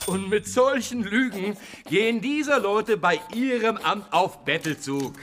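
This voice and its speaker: An actor playing a disdainful, insinuating accuser in a German radio play. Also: male voice, high voice, animated, measured pace, fairly bright tone. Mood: accusing. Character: disdainful, sneering male accuser of welfare claimants.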